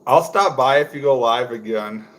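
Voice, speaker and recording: A young man from Wisconsin with a low voice, chatting casually on a home livestream while making fishing lures, rambling with lots of fillers. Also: normal speed, a bit bright. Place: indoors at home.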